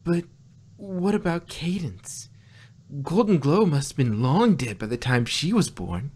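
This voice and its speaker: A man's voice: fairly high pitch, sing-song, measured pace, darkish tone, husky, heavily acted. A man voicing an eager young female pony princess who asks questions in astonishment, in an amateur fan-fiction reading. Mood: bewildered. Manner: incredulous, questioning.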